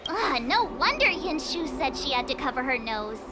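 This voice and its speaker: A woman voice acting a curious, expressive young girl in a video game, exclamatory and inquisitive. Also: sits high, normal speed, very noisy. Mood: disgusted. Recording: loud music behind.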